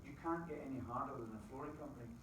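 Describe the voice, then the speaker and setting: A middle-aged Scottish man giving a lively motivational talk to a live audience, quizzing the crowd with folksy asides. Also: medium pitch, racing, noisy background. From Scotland. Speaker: business opportunity presenter. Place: presentation room with an audience. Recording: very echoey room, distant mic.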